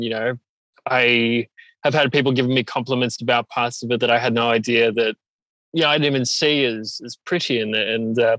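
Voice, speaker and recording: A man with a bright, forward voice chats casually as an interview guest. The sound is close and dry, and he tells things in an animated way, slipping into quoted asides. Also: medium pitch, dry recording. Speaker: interview guest, singer-songwriter. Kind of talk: casual chat.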